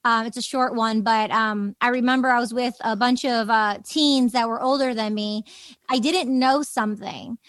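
A woman's voice: fairly high pitch, fairly even intonation, normal speed, no echo. A woman talking conversationally as the interviewer on a casual podcast.

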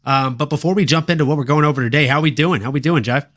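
A man's voice: fairly high pitch, fast talker, a bit bright. A man hosting a sports podcast in an informal, slangy, showman-like way, talking fight fans through the card. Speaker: podcast host. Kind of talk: upbeat sports podcast talk and fight recap.

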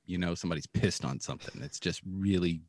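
A man co-hosting a podcast, sharing a news story in casual chat with playful deadpan jokes. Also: low voice, fast talker, dry recording, clean studio sound. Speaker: podcast co-host.

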